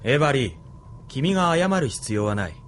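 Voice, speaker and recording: Smug military officer, mockingly polite, controlled menace, medium pitch, Japanese audio drama. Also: male voice, big pitch swings, moderate pace, a bit bright, slight background noise. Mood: smug, composed.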